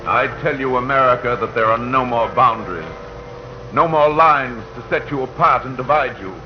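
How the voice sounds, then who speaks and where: A man's voice: mid-range voice, normal speed, lightly acted. Man as grand, declamatory voice of old radio. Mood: solemn, stirring. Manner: declamatory, solemn. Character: grand voice of radio addressing the American public. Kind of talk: 1940s radio narration. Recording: dull 1940 radio recording. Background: music.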